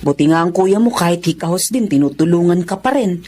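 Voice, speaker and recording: A woman's voice: fairly low pitch, expressive, fairly quick, mellow, a little hiss or hum, natural voice. A woman playing a stern but caring older mother of a poor family in a Filipino radio drama. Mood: admonishing.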